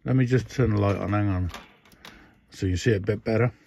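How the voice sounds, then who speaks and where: A man's voice: on the low side, a little fast, creaky, darkish tone, no echo. Older man, rambling workbench demo. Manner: rambling, many fillers and restarts. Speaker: radio hobbyist showing a modification. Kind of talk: casual hands-on demo. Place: indoor workbench. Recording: dull, dry lo-fi phone audio.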